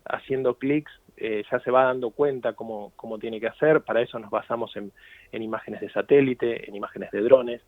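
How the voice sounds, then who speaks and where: A man's voice: medium pitch, fairly even intonation, dry recording. Argentine man on a tinny phone line. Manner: measured, explanatory. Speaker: guest, company CEO. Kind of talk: radio interview answers.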